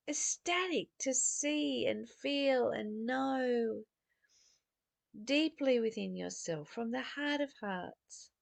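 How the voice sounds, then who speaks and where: A woman giving an intimate, meditative spiritual teaching, speaking gently and affectionately to the listener in deliberate short phrases with emphatic stresses. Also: high-pitched, vocal fry, no echo.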